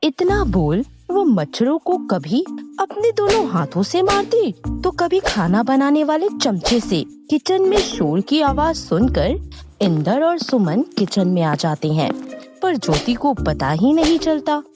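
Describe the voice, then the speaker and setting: Female narrator for moral tales: high voice, lively swings in pitch, music underneath. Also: measured pace. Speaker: story narrator. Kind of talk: expressive scripted story narration. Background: background music.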